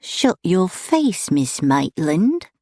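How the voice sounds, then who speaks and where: A woman voicing a menacing male lowlife villain with oily, falsely pleasant manners in an audiobook. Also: very animated, a little slow, gravelly, dry recording, clean studio sound, full character voice. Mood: pleasantly threatening. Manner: oily, mock-friendly threats. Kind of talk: audiobook character dialogue.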